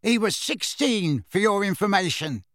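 A man's voice: sits high, big pitch swings, a little slow, vocal fry, fairly bright tone, no echo, studio quality, pitched above the performer's own voice, heavily acted. A man voicing a big teenage boy bully, snarling, sneering and defensive, in an audiobook story. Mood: snarling, boastful.

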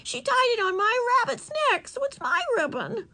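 A shrill little girl, furious and close to tears, her voice leaping high as she insists. A woman reads her out in a storybook. The voice sits a touch back from the mic. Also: very animated, moderate pace, pushed and bright, heavily acted. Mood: tearful.

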